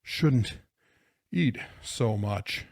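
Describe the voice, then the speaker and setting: A man's voice: fairly low pitch, expressive, very slow, vocal fry, darkish tone, no room sound, clean studio sound, heavily acted. A man voicing a blustery, grumbling, worn-out grown man in an audiobook story. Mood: breathless, pained. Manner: gasping, grumbling, roaring.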